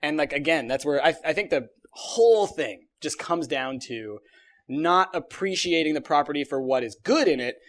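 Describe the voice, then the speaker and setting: A man co-hosting a casual podcast chat, animated and given to acting out imagined dialogue. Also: on the high side, normal speed. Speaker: podcast co-host.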